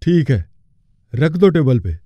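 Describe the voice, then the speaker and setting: A man voicing a kindly middle-aged company boss and indulgent father in a cartoon story, in a fatherly, measured way. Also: a little high, very animated, no room sound, lo-fi sound, heavily acted. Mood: calm. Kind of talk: Hindi cartoon story acting.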